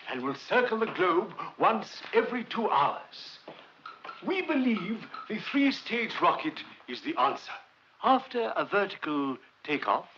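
A man's voice: high voice, a bit bright. A man playing a confident, visionary professor who lectures formally in a 1950s film drama. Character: confident, visionary professor leading a rocket team. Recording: muffled old film soundtrack, faint noise.

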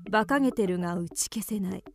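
A woman narrating a radio drama from a script in a measured, composed manner. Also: mid-range voice, gravelly, darkish tone, faint music behind.

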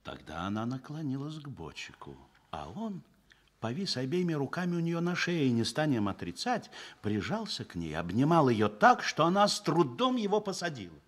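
Animated male radio-play narrator. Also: fairly high pitch, normal speed. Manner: expressive, literary storytelling. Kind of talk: dramatic scripted narration for a Soviet radio play.

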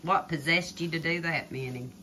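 An older woman chatting casually from behind a phone camera in a home kitchen, gently coaxing a child with tag questions while filming. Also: low voice, moderate pace, noisy background. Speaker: vlogger filming a grandchild cooking. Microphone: phone camera held by the speaker. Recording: kitchen clatter of pots and dishes.

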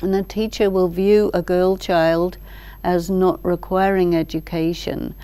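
An older woman explaining ideas thoughtfully as an interview guest, in short measured phrases with deliberate pauses. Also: on the low side, flat delivery, warm tone, no room sound. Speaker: expert guest, teacher. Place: large library hall, indoors. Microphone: lapel mic.

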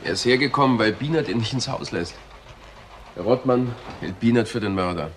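A man's voice: mid-range voice, a little fast, fairly bright tone, a little hiss or hum, natural voice. Actor as sober, terse male detective. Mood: sceptical. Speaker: actor in a crime drama. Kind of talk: German crime drama acting.

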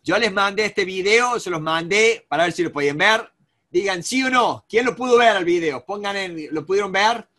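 Argentine male coach in his 40s, high bright voice, rambling webcam presenter. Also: animated, moderate pace. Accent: Argentine Spanish. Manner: rambling, many restarts and fillers. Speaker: negotiation coach. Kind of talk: live webinar presentation. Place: home office with bookshelves. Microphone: video call webcam.

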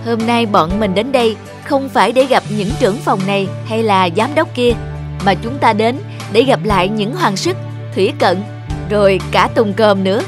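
A woman's voice: fairly high pitch, moderate pace, over music, lightly acted. Woman acting an encouraging, sensible young cartoon woman. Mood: cheerful teasing. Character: reassuring, sensible young woman at a class reunion. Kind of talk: animated cartoon dubbing.

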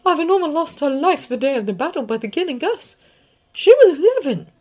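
A woman voicing a stern older female professor who speaks in a low, grave and measured way. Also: big pitch swings, a little mellow. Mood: somber.

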